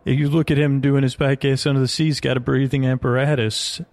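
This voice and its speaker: A man's voice: medium pitch, flat delivery, quick pace, a little mellow, no echo. A man hosting a sleep podcast, rambling through a bedtime monologue in a hesitant, meandering way, often interrupting himself and trailing off into tangents.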